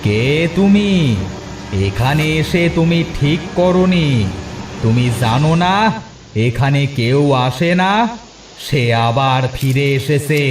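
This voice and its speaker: Man voicing a slow, echoing, ominous stranger. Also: a little high, distant mic, noisy background. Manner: slow, menacing. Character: ominous stranger warning intruders at a graveyard. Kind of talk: Bengali cartoon voice acting. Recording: echoing voice with music.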